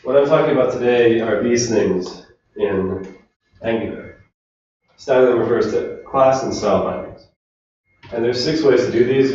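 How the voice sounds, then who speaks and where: A man from Finland giving a relaxed technical talk to a meetup audience, chatting with the crowd. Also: medium pitch, slight background noise. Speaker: conference speaker. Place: large echoey meetup room. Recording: distant, roomy, dull room recording.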